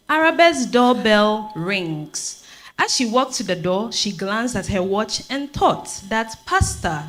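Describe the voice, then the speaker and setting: A woman's voice: on the high side, a bit bright, heavily acted. A woman playing the narrator in a stage reading of a romance, storytelling. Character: unhurried female storyteller of a romance.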